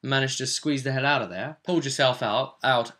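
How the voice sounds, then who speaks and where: A man's voice: medium pitch, brisk, fairly bright tone. A man giving a casual, rambling recap of a tabletop role-playing session as the game master.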